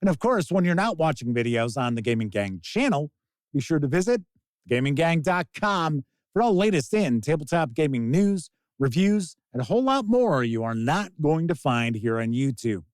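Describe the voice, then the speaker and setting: A man hosting a tabletop game review, talking casually to camera with an emphatic sing-song delivery and drawn-out words. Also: on the high side, sing-song, no echo, clean studio sound.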